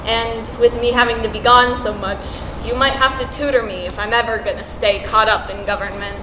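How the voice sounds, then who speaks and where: Through heavy room echo and clear background noise comes a young woman acting a candid, steady teenage girl in a school play. Her voice sits a little high, with plain, earnest stage delivery. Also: fairly quick, natural voice. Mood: earnest, serious. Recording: echoey noisy school stage recording.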